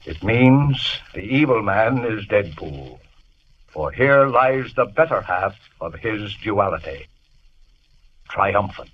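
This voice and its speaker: An actor playing a resolute, grave gentleman in an old radio horror drama, dramatic and commanding. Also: on the low side, lively intonation, slow. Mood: solemn. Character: resolute, grave gentleman investigating his friend's disappearance. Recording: dull, hissy old radio recording.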